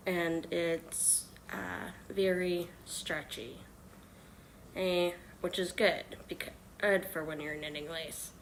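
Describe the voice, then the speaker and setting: A hoarse woman chatting softly on a live stream while she knits, with many pauses and fillers. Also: lowish voice, slight background noise, low-quality recording. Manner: halting, many ums and uhs. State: hoarse from illness. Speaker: knitting livestreamer. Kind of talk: soft-spoken live stream chat.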